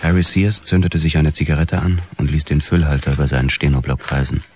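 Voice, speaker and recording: Noir narrator: brooding cop, rock-bottom pitch, sober scripted delivery over clear noise. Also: male voice, flat delivery, brisk, a little mellow. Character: brooding male police detective narrating a noir tale. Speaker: first-person narrator. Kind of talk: scripted radio drama narration.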